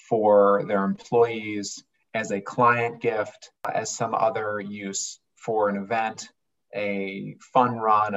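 A man's voice: fairly low pitch, dull audio. A man who runs a family business explaining his company earnestly in an interview. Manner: earnest, explanatory, occasional restarts. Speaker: business owner, interview guest. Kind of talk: conversational interview.

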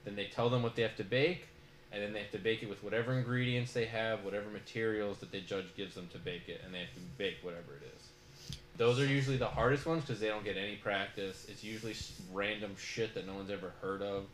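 A man's voice: lowish voice, fairly even intonation, fast talker, echoey room, slight background noise, low-quality recording. A man hosting a podcast, talking through a show review in a casual, rambling way full of fillers. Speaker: podcast host.

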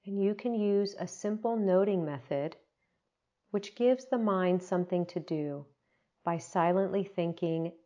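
Woman, guided meditation, lowish pitch, gentle unhurried delivery, long pauses, slightly muffled recording.

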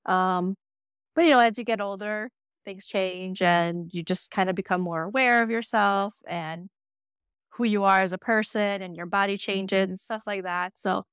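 A woman, telling her story in a loose, chatty way. Her voice is smooth and resonant, recorded dry and close to the mic. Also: medium pitch, steady tone, normal speed, fairly bright tone, no echo. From born in Croatia, raised in the United States. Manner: chatty, frequent "like" fillers and laughs. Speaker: podcast guest. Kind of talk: casual conversational storytelling.

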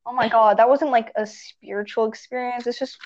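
A teenage girl chatting casually with a friend, giggly and animated as she tells stories. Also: fairly high pitch, a little fast. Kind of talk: casual chat between friends. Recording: dull lo-fi recording.

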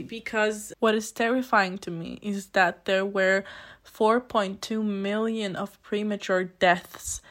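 A woman's voice: mid-range voice, fairly bright tone. A woman of about twenty with a Polish accent, answering interview questions in an earnest, explanatory, conversational way. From Poland. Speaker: interview guest, student committee chair.

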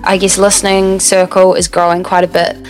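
Young new zealand woman, low voice, casual podcast chat, faint music behind. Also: level tone, fairly quick, poor audio. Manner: casual, swears freely, lots of "like" and "um". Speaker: podcast co-host. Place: radio studio.

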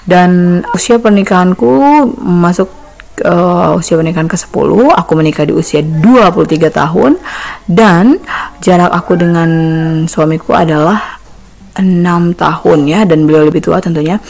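A woman's voice: on the low side, normal speed, vocal fry, long pauses, a little mellow. A woman, giving a casual personal testimony. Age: 30s. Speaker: podcast volunteer sharing her views.

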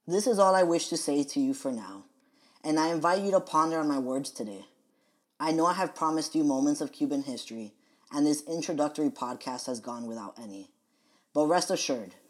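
A young adult man from the United States hosting a podcast, reading his scripted personal monologue in a measured, earnest way. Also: a little high. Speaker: podcast host.

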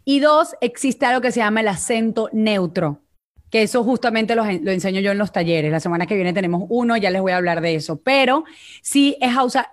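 A woman vocal coach with a Venezuelan accent, explaining voice technique in a casual live chat and often checking in with "ok?". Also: mid-range voice.

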